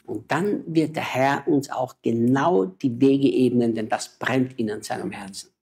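A man's voice: mid-range voice, a little slow, a little mellow, husky. A man, a missionary preacher and native German speaker, talking with emotional, urgent exhortation in a conversation.

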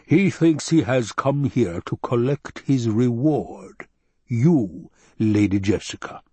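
A man voicing an obese, sinister male baron with a rumbling basso voice, slow and taunting, in an audiobook. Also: lively intonation, mellow, slightly rough, dry recording. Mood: gloating. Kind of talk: audiobook character voice.

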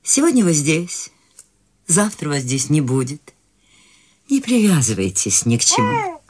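An actress playing Maude, an eccentric, free-spirited older woman, lively and teasing, in a radio play. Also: sits low, unhurried, background noise, exaggerated voice.

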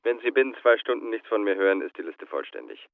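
A man's voice: lowish voice, animated, fast talker, creaky. An actor playing a curt, formal businessman heard through a telephone filter in a German radio drama. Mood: curt, guarded. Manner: clipped, formal, dismissive. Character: curt, smooth-dodging businessman on the phone. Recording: thin, narrow-band telephone line.

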